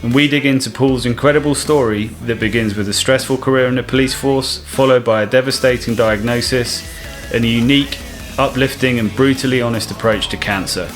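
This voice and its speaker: A man's voice: mid-range voice, steady tone, a little fast, soft background music. Friendly man hosting a podcast. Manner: friendly, repeats words, many fillers. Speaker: podcast host. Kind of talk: casual chat and scripted intro.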